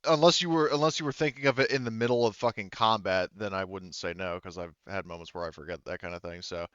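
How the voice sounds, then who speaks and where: Male game master, low smooth voice, casual and halting, dry lo-fi recording. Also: a bit bright, no room sound. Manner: halting, informal, swears casually. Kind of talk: casual narration of a tabletop roleplaying game. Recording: dull, lo-fi audio.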